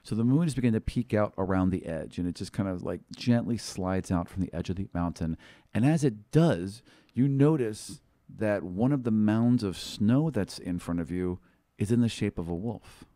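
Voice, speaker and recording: A man with a fairly low voice serves as game master for a tabletop roleplaying game. He improvises scenes in an easygoing, conversational way. The sound is very dry, with no room on it. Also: animated, moderate pace, mellow, dry recording. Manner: casual, conversational, agreeable.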